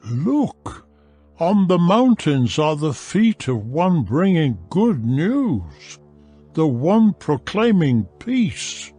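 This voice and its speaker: A man narrating a dramatized audio Bible in a solemn, deliberate, declamatory manner. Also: on the high side, sing-song, warm tone, breathy edge, dry recording. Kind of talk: dramatized scripture reading. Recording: music behind the voice. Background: music.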